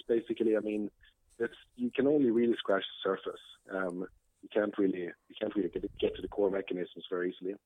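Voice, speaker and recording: A man with a Swedish accent speaks as an interview guest, his voice sitting on the low side. He talks in a halting way, with frequent fillers and restarts. The sound is dry and squeezed into a narrow, phone-like band. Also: dry recording. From Sweden. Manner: hesitant, many fillers and restarts. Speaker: guest, computer science professor. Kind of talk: conversational interview. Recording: tinny phone-like line.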